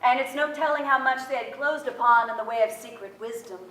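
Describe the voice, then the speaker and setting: An actress playing a gentle, shy young woman in a theatrical staged reading. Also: fairly high pitch, fairly quick. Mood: tender. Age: young adult. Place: theatre stage before an audience. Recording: echoey distant stage microphone, violin music. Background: violin music.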